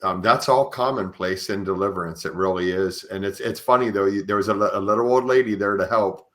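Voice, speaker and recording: A man with a fairly low voice hosts a conversational podcast interview. He explains things earnestly, often restarting his phrases as he thinks them through. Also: fairly quick, fairly bright tone. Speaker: podcast host. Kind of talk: conversational interview, sharing religious experiences.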